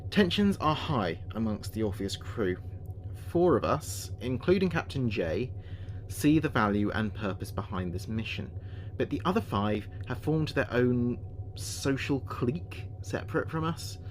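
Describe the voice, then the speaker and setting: A man playing an earnest spaceship communications officer, speaking his log in a measured, precise way for a sci-fi audio drama. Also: mid-range voice, expressive, slight background noise, close to an everyday voice.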